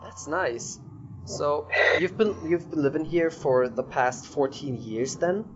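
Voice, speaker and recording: A man's voice: fairly high pitch, slow, highs cut off, noisy background. A man hosting a podcast, talking informally and upbeat as he introduces and interviews his guest.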